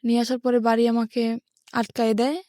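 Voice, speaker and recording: A woman's voice: a little high, little pitch movement, fairly quick, warm tone, no room sound. A woman from rural Bangladesh with a Bangladeshi accent, telling her life story step by step in a plain-spoken way as an interview guest. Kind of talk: personal life-story narration in a radio interview.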